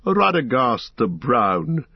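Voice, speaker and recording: A man voicing a proud, scornful old male wizard who speaks in a slow, sneering way. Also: medium pitch, big pitch swings, vocal fry, breathy edge, dry recording, dull audio, full character voice.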